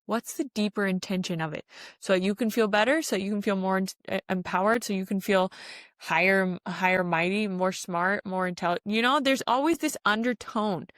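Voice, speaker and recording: A woman with a medium-pitched voice records a solo spiritual podcast close to the mic in a very dry space. She speaks in earnest, exhorting the listener and repeating key phrases so that they land. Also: normal speed, fairly bright tone, no room sound. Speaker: podcast host. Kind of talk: spiritual self-help podcast monologue.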